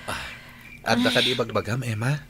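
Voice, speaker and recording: A man's voice: mid-range voice, moderate pace, background noise, lightly acted. An actor playing an earnest, lovesick young man in an Ilocano radio drama, speaking sentimentally. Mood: tender. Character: Satur, earnest lovesick young male suitor.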